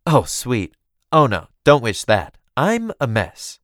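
A man's voice: mid-range voice, huge pitch swings, slow, fairly bright tone, dry recording, studio quality, full character voice. A man voicing a languid, teasing, self-dramatizing young noblewoman with a drawling, theatrical delivery in an audiobook. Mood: dismayed, fond. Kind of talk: audiobook character dialogue.

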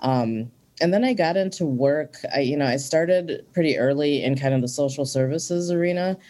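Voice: mid-range voice, moderate pace, mellow. A guest in their forties from Minnesota, talking earnestly and reflectively in a casual interview, with frequent ums.

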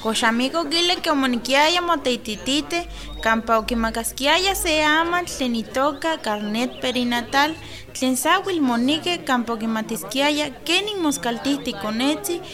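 A calm, slow-speaking village friend. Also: female voice, sits high. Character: Clara, a patient village friend. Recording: crowd noise behind.

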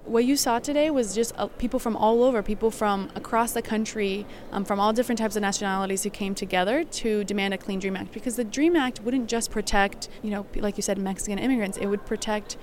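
A woman's voice: medium pitch, steady tone, fast, few pauses, darkish tone, a little hiss or hum. A young woman from Brazil, an activist organizer, speaking casually and earnestly in a field interview with some fillers.

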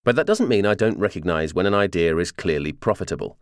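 A man narrates an audiobook memoir in a low-pitched voice with lively, varied intonation. His reading is measured and clearly articulated, delivered as polished scripted narration in a dry, close recording. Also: dry recording.

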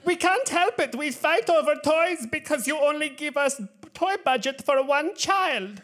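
A woman's voice: sits high, expressive, a little slow, a bit bright. A woman playing a squabbling, possessive young brother in improvised fairy-tale comedy, playful and mock-whiny. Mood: pleading, silly. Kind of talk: improvised comedy storytelling acting.

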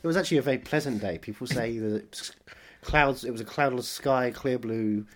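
A man co-hosting a podcast, riffing on absurd tangents in a deadpan, offhand way. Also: medium pitch, a little fast. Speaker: podcast co-host. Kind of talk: casual comedic chat.

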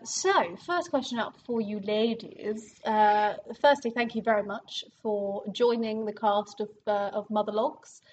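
A woman of thirty hosting a theatre podcast in a rehearsal room, chatty and quick to laugh. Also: medium pitch, fairly even intonation, darkish tone, lo-fi sound, slight background noise. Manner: chatty and giggly. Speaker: podcast host and theatre company director. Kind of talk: casual group interview.

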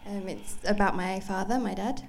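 A woman interpreting a sermon phrase by phrase, with fillers and quick self-corrections. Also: medium pitch, fairly even intonation, creaky. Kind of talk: live consecutive interpreting of a sermon.

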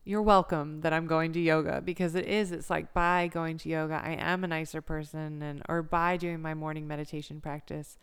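A thirty-year-old American woman with a low, very smooth and clean voice. She speaks informally and reflectively, like a yoga teacher chatting in an interview. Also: little pitch movement, fairly quick, few pauses. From United States. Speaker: yoga instructor, interview guest. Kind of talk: casual conversational interview.

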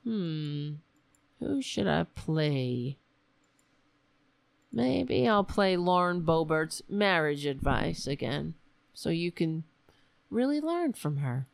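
A woman hosting a casual political talk show, rambling and sarcastic, hoarse because she is losing her voice. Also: low-pitched, very animated, takes it slowly, pauses often, no room sound.

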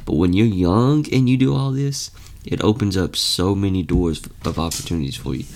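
A man's voice: sits low, normal speed, a little mellow, a little hiss or hum. A young man in his late teens hosting a podcast, talking earnestly and casually with lots of fillers and restarts. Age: young adult. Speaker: podcast host. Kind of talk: casual motivational podcast talk.

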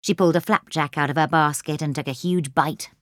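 A woman's voice: sits low, fairly quick, a bit bright, dry recording. A woman reading a children's story expressively as a scripted audiobook narration, close on the microphone. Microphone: close studio microphone.

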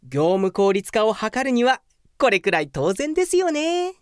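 A woman's voice: on the high side, sing-song, normal speed, smooth, no echo, studio quality. A woman playing a lazy, smug young male office worker in a comedic radio drama, with an earnest, matter-of-fact manner.

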